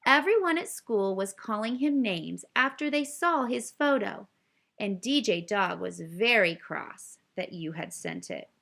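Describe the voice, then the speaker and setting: Woman reading a measured, wise old owl. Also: mid-range voice, lively intonation, gravelly, a bit bright, exaggerated voice. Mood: regretful. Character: wise old male owl, gray, calm and instructive. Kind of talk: children's storybook read-aloud.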